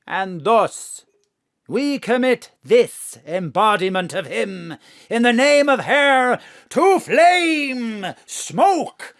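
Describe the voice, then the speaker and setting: A fanatical ringleader in an audio drama. He declaims slowly and grandly, like ritual oratory, with big swings in pitch and a ceremonial, theatrical manner. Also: expressive, fairly bright tone, full character voice. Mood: fervent, triumphant.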